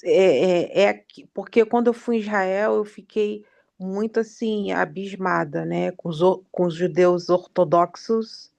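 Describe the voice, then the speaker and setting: A female student in a casual class, asking questions hesitantly in halting phrases. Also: fairly low pitch, fairly even intonation, creaky, darkish tone.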